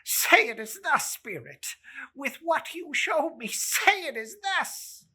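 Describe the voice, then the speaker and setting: A woman's voice: high-pitched, very animated, slow pace, sharp tone, voice pushed up, heavily acted. A woman playing a frightened old male miser in a dramatic storybook reading, stammering and beseeching. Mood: pleading.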